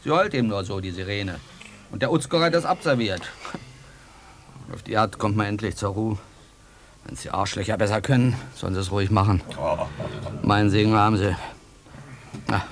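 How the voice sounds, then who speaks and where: A man's voice: on the low side, lively intonation, normal speed, pauses often. An actor playing a proud, grumbling old retired railwayman, blunt and boastful, in a radio drama. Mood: bitter, resigned. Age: older. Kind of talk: 1980s German radio drama acting.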